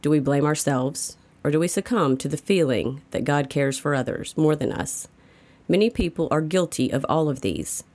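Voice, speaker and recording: A woman's voice: low-pitched, moderate pace, darkish tone. A woman reads a scripted daily devotional as its narrator. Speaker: devotional reader.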